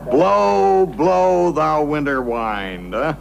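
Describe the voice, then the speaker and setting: An actor playing an urbane, genially pedantic literary gentleman in a television drama, with a gravelly voice and measured, declamatory speech. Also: a little high, a little hiss or hum, exaggerated voice. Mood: amused.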